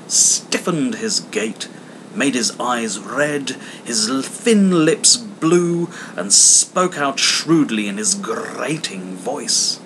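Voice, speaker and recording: A man reading a classic story aloud as a narrator, in a theatrical, emphatic way that relishes the words. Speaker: audiobook narrator. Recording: amateur recording with rumbling background hum.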